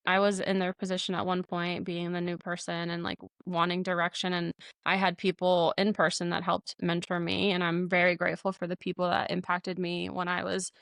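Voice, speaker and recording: Gravelly-voiced young woman talking candidly. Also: fairly low pitch, completely flat delivery, fairly quick, hardly any pauses, a bit bright, dry recording, studio quality. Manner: candid, laughs often, many fillers. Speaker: podcast guest, flight nurse. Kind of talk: casual interview talk.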